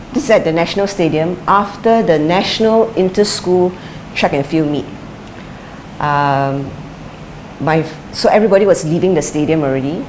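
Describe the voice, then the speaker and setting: Lower-voiced middle-aged woman reflecting. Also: poor audio. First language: English is her first language; Chinese is her second. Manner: thoughtful, with frequent fillers and restarts. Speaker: interviewee, former sprinter and coach. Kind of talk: reflective interview answers. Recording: light background noise.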